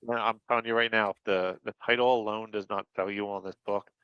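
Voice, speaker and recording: A man's voice: fairly low pitch, steady tone, fairly quick, clean tone, dry recording, muffled recording. A man with a slightly nasal voice from a head cold, a comic writer talking easily and with enthusiasm as a podcast guest. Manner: easygoing, enthusiastic, laughs often. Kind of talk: casual podcast interview.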